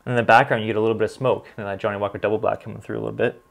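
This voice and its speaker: A man in his thirties with a Canadian accent, chatting casually as a channel host, relaxed and full of ums as he reads comments and tastes whiskey. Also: lowish voice, very fast, vocal fry. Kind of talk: casual vlog, reading comments and tasting. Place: small home studio with bottles on a desk.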